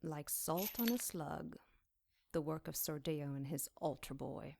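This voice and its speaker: A woman's voice: low-pitched, measured pace, vocal fry, darkish tone, full character voice. An actress playing a captive demon witch in an audio drama, sly, wry and defiant in a dryly mocking way. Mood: weary, wry.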